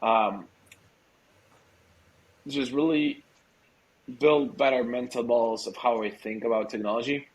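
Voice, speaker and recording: A man's voice: medium pitch, fairly even intonation, a little slow, long pauses, rough recording. A man from Argentina whose first language is Spanish, chatting casually as an interview guest and feeling for his words in an informal, hesitant way. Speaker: podcast guest, startup growth executive.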